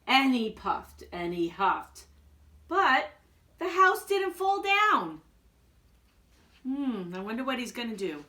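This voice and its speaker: A woman's voice: mid-range voice, fairly bright tone. A woman reading a children's storybook aloud with dramatic emphasis, like a school principal addressing young listeners.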